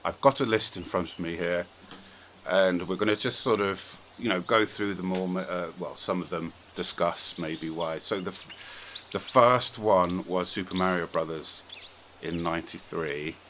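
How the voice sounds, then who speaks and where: A British man with a very deep voice chats informally as the host of a podcast. His manner is blunt and relaxed in wording, and there is some noise behind him. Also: measured pace. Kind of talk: casual podcast chat about films. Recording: noticeable background noise.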